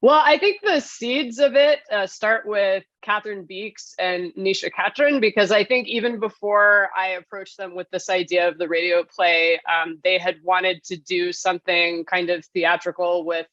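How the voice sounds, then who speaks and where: Woman guest telling stories casually, medium pitch, kinda muffled lo-fi sound. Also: fairly even intonation, moderate pace, few pauses, a bit bright, no room sound. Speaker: interview guest, radio play writer. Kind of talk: casual conversational storytelling. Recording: slightly dull, lo-fi.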